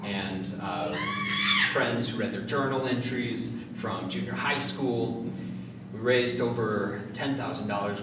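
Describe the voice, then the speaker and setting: Heavy room echo and clear noise surround a man talking from a distance. His pitch is lively and varied, though he moves hesitantly from phrase to phrase in an informal talk. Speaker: playwright introducing his play. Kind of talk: informal public talk to an audience. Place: large reverberant room at a live event. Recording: very echoey room, distant mic, noisy.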